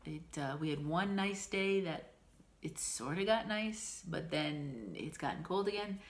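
A woman's voice: low voice, lively intonation, a little slow. A woman in her forties with a stuffy nose, chatting casually to camera at home about her week, rambling with ums and small laughs. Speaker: stitching vlogger. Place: small room at home, indoors. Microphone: camera in front at desk distance.

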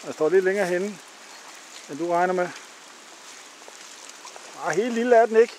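Male amateur cameraman, Danish, high voice, chatting casually from behind the camera over outdoor noise. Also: darkish tone. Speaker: amateur cameraman commenting on a fishing trip. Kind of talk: casual live commentary. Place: outdoors on a grassy riverbank. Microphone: camcorder held by the speaker. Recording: noisy outdoor camcorder audio, rushing water.

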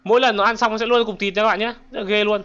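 High-voiced man doing excited game commentary. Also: racing, clear, resonant tone, highs cut off. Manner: exclamatory, addresses viewers as friends. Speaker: gaming video host. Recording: loud game gunfire and clicks behind. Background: game gunshots, explosions and clicks.

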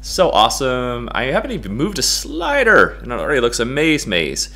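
A middle-aged American man giving a casual, playful software tutorial in a goofy, jokey way. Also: medium pitch, wildly swooping pitch, normal speed. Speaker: photo-editing tutorial presenter.

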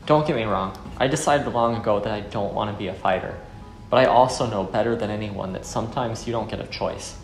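A weary, brooding former soldier, acted by a man in a visual novel. His voice is low and his delivery earnest and measured. It sounds in an echoey room with music underneath. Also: vocal fry. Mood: resolute. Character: weary, brooding male ex-soldier turned fisherman.

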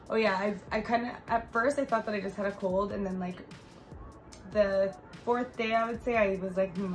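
A woman's voice: medium pitch, low-quality recording. Stuffy-nosed woman chatting to camera. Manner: rambling, many fillers. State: stuffy, congested. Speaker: vlogger. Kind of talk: casual chat to camera. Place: bright living room, on a sofa.

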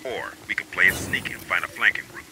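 An actor playing a cynical, streetwise squad adviser in a video game, talking in a sardonic, clipped way. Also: male voice, low-pitched, steady tone, fast, noisy background, close to an everyday voice. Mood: wry. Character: wry, streetwise male squad adviser, cynical rebel realist. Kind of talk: video game cutscene and mission dialogue acting. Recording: game music behind the voice.

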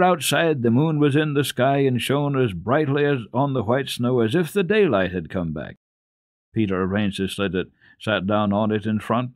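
A man narrating a classic children's book as a radio storyteller, in an expressive, dramatic and deliberate manner. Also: fairly low pitch, husky, dry recording, clean studio sound. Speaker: storyteller, radio program host.

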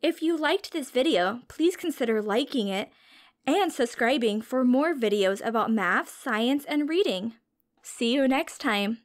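A woman's voice: a little high, animated, a little slow. A woman reading a storybook aloud to young children as a teacher, expressively, with simple questions and explanations.

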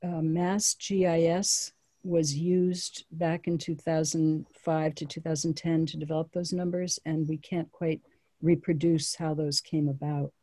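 A town planning official speaks on a video call at a public meeting. Her voice is very dark and mellow, and the sound is dry and close. She talks in a measured way, pausing often with ums and small restarts. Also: low voice, level tone, dry recording.

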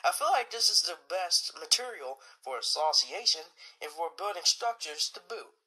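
A man plays an earnest, satisfied worker interviewed for radio. His voice is bright and swings animatedly in pitch. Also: lively intonation, normal speed, full character voice, rough recording. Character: satisfied male tech worker giving earnest testimonial.

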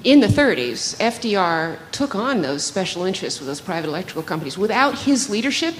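A middle-aged woman moderating an onstage interview, asking prepared, measured questions into a handheld mic. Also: mid-range voice, sing-song. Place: large hall stage, seated in armchair. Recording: hall reverb, faint room noise.

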